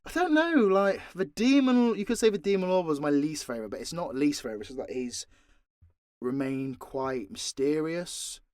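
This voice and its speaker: A man's voice: fairly high pitch, lively intonation, moderate pace, a little mellow, no room sound, studio quality. A British man answering fan questions in a casual, unscripted chat, blunt and informal with plenty of swearing. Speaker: voice actor and channel creator answering fan questions.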